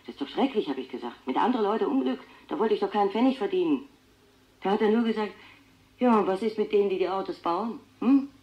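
A woman's voice: medium pitch, fast, muffled recording, close to an everyday voice. An actress in a radio play voicing a troubled mother who recounts things about her son and quotes others as she goes. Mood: troubled recounting. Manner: animated, quoting others as she tells. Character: mother recounting her clairvoyant son's disturbing gift. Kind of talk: radio play acting, interview-like storytelling.

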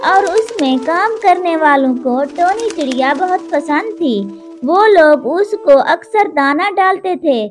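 A woman narrating a children's moral story in a slow storytelling manner, with music behind. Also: extremely high pitch. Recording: narration over cartoon music.

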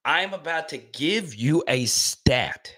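A man's voice: on the high side, slow pace. Man in his thirties, live stream host, casual chat, repeats himself, studio mic, small room. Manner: animated, repeats words, reads chat aloud. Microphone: studio mic on boom arm in front.

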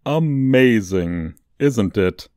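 Man playing a slow, theatrical, sardonic tour guide. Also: lowish voice, lively intonation, darkish tone, dry recording. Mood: showy, sly. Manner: showy, drawn-out emphasis, dry asides. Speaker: voice actor. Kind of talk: comedic ASMR roleplay monologue.

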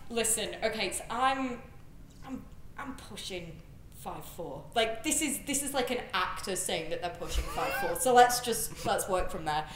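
A young British woman chatting casually as an interview guest, telling stories in an animated, joking way with plenty of "like". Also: mid-range voice, normal speed, reverberant, slight background noise. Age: young adult. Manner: animated storytelling, frequent "like". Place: large bright indoor studio room, on a sofa. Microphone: handheld microphone.

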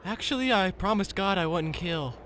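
A man's voice: high-pitched, lively intonation, moderate pace, a bit bright, a little hiss or hum. A man voicing a sensible, worried young teammate who sounds doubtful and plain-spoken in a cartoon parody. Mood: reluctant.

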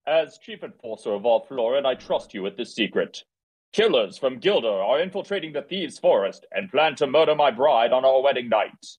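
A pompous, imperious prince voiced by a man at a script read. The voice is husky and mid-pitched, very animated, full of scheming command. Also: normal speed, poor audio. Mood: conspiratorial.

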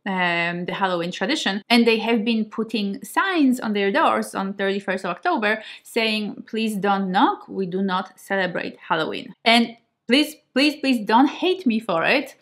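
A woman's voice: medium pitch, expressive, a little slow, a bit bright. A woman in her thirties from Poland, a native Polish speaker, talking casually to camera at home in a chatty, reflective way and laughing often. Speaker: vlogger. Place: home kitchen and living room, indoors.

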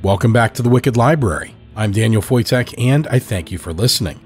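A man's voice: medium pitch, animated, fairly quick, darkish tone, faint music behind. A man speaking as a podcast host and storyteller, delivering scripted horror narration in a dramatic, theatrical way.

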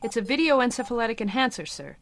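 A woman performs a female starship commander in a spoof radio serial. She is formal, wordy and incredulous, with animated intonation. There is faint noise in the background. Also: fairly high pitch, quick pace. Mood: clinical. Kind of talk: parody old-time radio serial acting.

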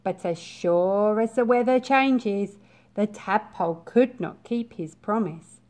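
A young woman reading a picture book to small children, expressive and deliberate, with pauses. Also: mid-range voice. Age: young adult. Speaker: reception class teacher. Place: small bright school classroom, indoors.

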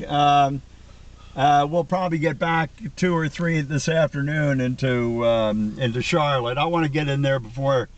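A man in his seventies chatting casually and ramblingly, with salty language, on a call from inside a car. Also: mid-range voice. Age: 70s. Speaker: vlogger on a road trip with old Marine friends. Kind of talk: casual chat on a conference call. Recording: noisy camcorder audio inside a car.

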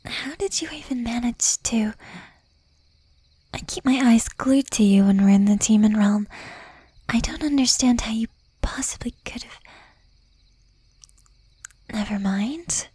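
A woman voicing a jealous, possessive young demon girlfriend in a breathy, intimate whisper with pointed pauses, for an ASMR roleplay. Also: a little high, mellow, no echo. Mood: suspicious, probing.

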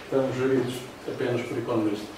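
An older man with a European Portuguese accent giving a formal lecture over slides, pausing often with fillers. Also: medium pitch, flat delivery, noisy background. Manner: talks through slides, hesitant fillers. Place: classroom with projector. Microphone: far room camera. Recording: roomy echo from far off.

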